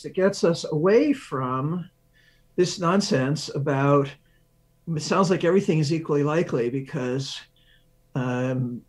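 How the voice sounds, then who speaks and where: A man speaking as an expert interview guest, explaining science step by step, thinking aloud and answering his own questions with examples. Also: a little high. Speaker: research professor, interview guest.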